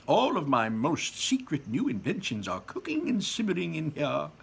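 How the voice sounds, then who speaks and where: A man voicing an excitable, bossy, eccentric chocolate inventor in a children's storybook, exclaiming his commands and boasts emphatically. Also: on the high side, normal speed, creaky, breathy edge, voice pushed up, full character voice. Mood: secretive, stern. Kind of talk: children's storybook read-aloud.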